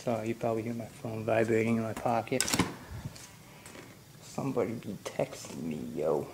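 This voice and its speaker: A man with a gravelly, mid-pitched voice talks unhurriedly through a hands-on motorcycle job, stopping for fillers as he works. He is recorded off-camera in a garage that echoes noticeably, with a faint hum of ambience behind him. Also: lively intonation. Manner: slow, halting, filler-heavy explaining while working. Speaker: motorcycle vlogger. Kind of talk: casual how-to narration.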